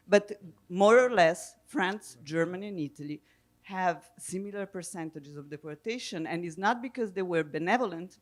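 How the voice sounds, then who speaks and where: A woman speaking spontaneously on a history panel, measured and precise as she searches for her words. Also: on the low side. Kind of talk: spontaneous explanatory panel talk. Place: live public event with audience questions.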